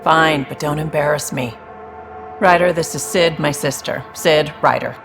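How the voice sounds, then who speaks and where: Cool low-voiced alien woman. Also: unhurried, vocal fry, a bit bright, faint music behind, exaggerated voice. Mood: offhand, embarrassed. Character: cool, protective alien big sister, blunt mercenary woman. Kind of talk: video game dialogue acting.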